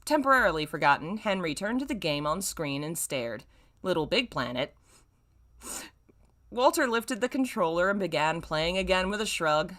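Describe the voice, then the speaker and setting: A young woman with a crisp, bright voice that sits a little low reads a story aloud. She plays it up theatrically and breaks into casual, mocking commentary in an exasperated, playful way. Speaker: host reading old fan fiction aloud with commentary. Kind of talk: dramatic read-aloud with mocking, casual asides.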